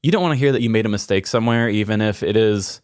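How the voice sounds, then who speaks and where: A man with a lowish voice speaks as a podcast host in a candid personal conversation. He is earnest and reflective, and the sound is very dry, with no room around it. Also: rapid-fire, smooth, no echo.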